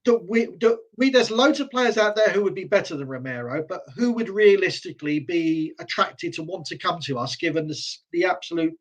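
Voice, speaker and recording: Male football panellist, high voice with lively swings, exasperated and sweary in casual fan talk. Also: a little fast, few pauses, low-quality recording. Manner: animated, exasperated banter, sweary.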